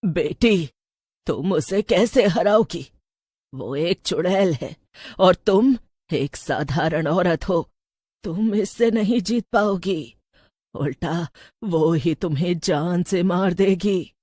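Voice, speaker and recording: A woman playing a wise older village woman, measured and cautioning, in an animated story. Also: on the low side, slightly rough, dry recording, clean studio sound. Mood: grave, advising. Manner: measured, advising. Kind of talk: animated Hindi story acting.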